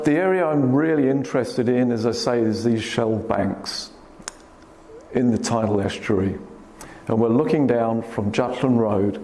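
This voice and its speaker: A man with a quiet voice, giving a public talk about birds, gently pointing out places on his slides. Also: mid-range voice. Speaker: guest speaker, wildlife photographer. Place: hall at a club meeting. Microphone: speaker's microphone. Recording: echoey hall, faint room noise.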